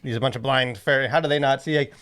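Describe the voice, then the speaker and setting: A man in a casual discussion as a podcast co-host, talking in a rambling, halting way full of filler phrases. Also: medium pitch, steady tone, fairly bright tone. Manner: rambling, halting, packed with "like" and "you know". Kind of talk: casual discussion of faith and culture.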